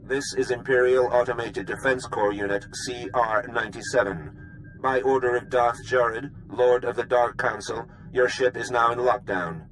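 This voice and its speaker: An actor voicing an impersonal automated defense unit in a video game, formally announcing orders. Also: male voice, medium pitch, steady tone, moderate pace, fairly bright tone, a little hiss or hum, lightly acted, rough recording. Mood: officious. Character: impersonal, officious automated military defense machine. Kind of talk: scripted video game acting.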